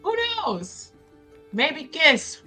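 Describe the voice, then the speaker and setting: A woman's voice: high voice, sing-song, extremely slow, bright, forceful tone, faint music behind. A woman playing a tabletop roleplaying game, chatting and improvising her character's moves in a casual, playful way.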